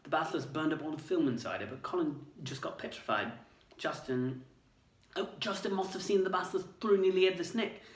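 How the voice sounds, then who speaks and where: A man voicing an earnest, quick-thinking teenage schoolboy who talks urgently and eagerly. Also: fairly high pitch, animated, pitched above the performer's own voice, natural voice. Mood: excited. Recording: roomy, distant mic.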